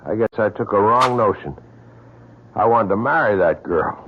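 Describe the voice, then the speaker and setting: An actor playing a humble, stubborn young lumberjack with folksy rustic speech in an old radio drama. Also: male voice, on the low side, lively intonation, moderate pace, slight background noise, lightly acted. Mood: dejected. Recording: thin, phone-like old radio broadcast.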